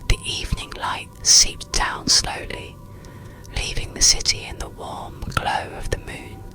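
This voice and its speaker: A narrator reading a soothing bedtime story in a breathy, unvoiced ASMR whisper, with gentle pauses. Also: faint music behind. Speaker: audiobook narrator.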